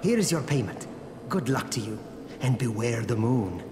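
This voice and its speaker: An actor playing an overwrought, eccentric man who believes himself cursed, with melodramatic, halting, grave delivery in a video game. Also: medium pitch, animated, noisy background, natural voice. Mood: solemn, dramatic. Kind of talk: video game character acting.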